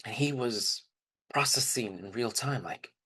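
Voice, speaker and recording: Guy doing a reflective storytelling monologue, kinda husky voice, casual and conversational. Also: medium pitch, measured pace, pushed and bright. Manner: informal, reflective, acts out quoted dialogue. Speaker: performer of a dramatized first-person narrative.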